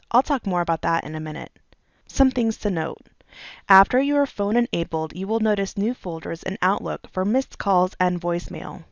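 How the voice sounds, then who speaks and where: A woman reading scripted instructional narration as a tutorial narrator, in a measured, step-by-step way. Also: lowish voice, no echo. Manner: clear, measured step-by-step delivery.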